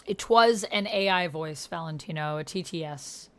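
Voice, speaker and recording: A woman's voice: on the low side, moderate pace, fairly bright tone, clean tone. A woman hosting a livestream, chatting informally, thinking aloud and reading on-screen text. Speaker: livestream host and player.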